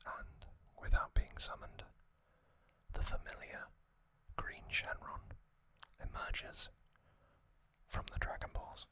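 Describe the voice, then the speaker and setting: A man whispering an ASMR narration, telling a story gently in short, hushed phrases as the host. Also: slow, long pauses.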